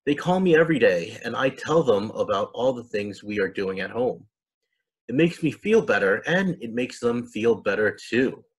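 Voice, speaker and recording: A man's voice: mid-range voice, lively intonation, moderate pace, slightly rough, poor audio. A man voicing an earnest, caring young child in a storybook, explaining things. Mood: earnest, tender.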